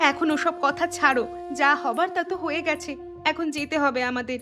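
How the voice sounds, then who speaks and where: A woman plays a young wife with a high, bright voice who scolds her husband and insists on going to her mother. Music plays clearly under the cartoon dialogue. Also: close to an everyday voice. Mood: scolding. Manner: insistent, quick-tempered. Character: devoted young wife and daughter.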